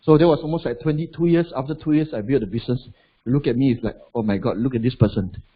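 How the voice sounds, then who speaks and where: A husky man in his forties with a Malaysian English accent speaks to a seminar audience. He keeps it conversational and drops in "lah" and "right?" as he goes. The recording sounds dull and lo-fi, like a stage mic in a hall. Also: medium pitch, quick pace. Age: 40s. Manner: chatty, asks the crowd rhetorical questions, adds "lah". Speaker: motivational business speaker. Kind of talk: live seminar talk to an audience.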